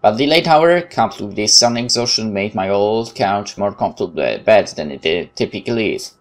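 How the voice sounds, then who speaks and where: A man hosting a let's play, reading narration aloud in a measured, dramatic way with casual commentary. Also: lowish voice, lively intonation.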